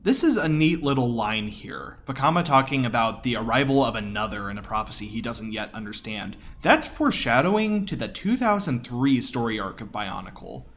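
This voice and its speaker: A man's voice: mid-range voice, lively intonation, moderate pace, hardly any pauses. A man giving casual, enthusiastic live commentary on his own video game speedrun in a conversational way. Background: quiet game audio.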